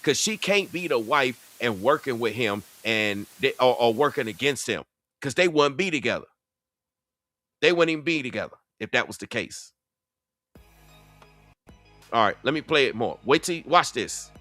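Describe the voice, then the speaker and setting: A man's voice: mid-range voice, animated, normal speed, pauses often, bright, forceful tone, dry recording, faint music behind. A man hosting a reaction video, giving heated, rhetorical commentary in a strong dialect.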